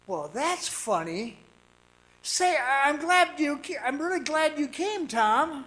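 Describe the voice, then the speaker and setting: Man acting a slow-spoken, frightened trapped miner. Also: very high-pitched, big pitch swings, pushed and bright, heavily acted. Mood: nervous, bewildered. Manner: stammering, halting, anxious. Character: frightened trapped miner, jittery, recounting his ordeal. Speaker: actor and narrator in a radio drama. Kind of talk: first-person suspense radio drama acting. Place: performing arts center stage.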